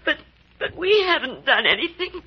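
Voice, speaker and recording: A woman's voice: sits high, very animated, normal speed. An actress in old radio melodrama playing a frightened, overwrought young woman who speaks with pleading emotion. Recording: noisy, muffled old radio recording.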